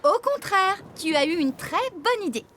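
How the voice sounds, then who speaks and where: A woman playing a brisk, kindly woman farmer who takes charge in a children's cartoon. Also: extremely high pitch, very animated, fairly bright tone, slight background noise, heavily acted. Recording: music behind the voice.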